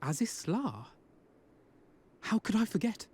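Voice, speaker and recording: A man's voice: sits high, big pitch swings, takes it slowly, a little mellow, husky, full character voice. A man playing an earnest, formal and eloquent young nobleman in a fantasy game. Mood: courteous. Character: earnest, courteous, well-spoken young nobleman.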